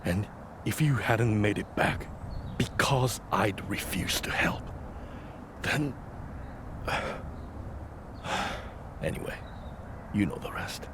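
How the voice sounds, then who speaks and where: An actor playing a proud, wounded samurai general burdened by guilt, speaking earnestly and dramatically in a video game cutscene. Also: male voice, fairly low pitch, extremely slow, pauses often. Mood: bitter, confessional. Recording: clear background noise.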